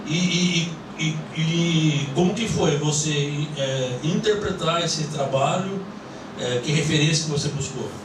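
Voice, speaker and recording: A man's voice: a little high, a little slow. A man, hosting a panel talk at a small cinema club, rambling with many fillers. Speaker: host. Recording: echoey room, mic from far off, noisy.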